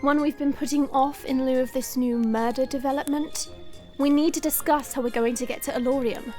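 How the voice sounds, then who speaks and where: A woman's voice: sits high, fairly even intonation, a little fast, dark tone, lightly acted. A woman playing a haughty dragon princess in human form, speaking formally and imperiously in an audio drama. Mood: businesslike. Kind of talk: audio roleplay drama acting. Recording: music under the voice. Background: background music.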